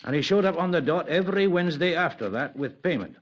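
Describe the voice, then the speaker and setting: A deferential old police sergeant, speaking with a lively, rising and falling voice pitched a little high. He sounds hesitant, with drawn-out pauses. A dull, lo-fi 1950s radio recording. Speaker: actor in radio drama. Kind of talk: 1950s radio drama acting.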